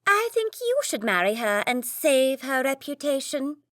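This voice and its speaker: A woman narrator puts on a nervous young noblewoman, earnest and pleading. Her voice is pitched high and recorded dry. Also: sing-song, a little slow, a bit bright, no room sound, heavily acted.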